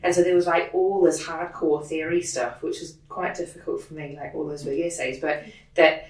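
Low-voiced New Zealand woman, quick and animated. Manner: quick, animated, peppered with "like" and laughs. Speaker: interview guest, production head. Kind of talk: casual interview chat. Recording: roomy sound, distant from the mic.

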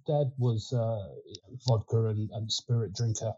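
A man's voice: fairly low pitch, a little slow, husky, muffled recording. A man in his sixties with an Australian accent, a Ukrainian first language, chatting loosely as an interview guest with many fillers. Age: 60s. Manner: relaxed and rambling, many fillers and restarts. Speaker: guest, winemaking consultant. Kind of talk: casual interview chat.

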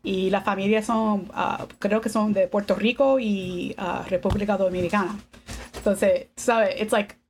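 A woman in her fifties, a podcast guest, telling family stories in a relaxed way and mixing English and Spanish. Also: medium pitch, a little slow, slight background noise. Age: 50s. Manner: switches between English and Spanish, laughs often. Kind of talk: casual bilingual conversation.

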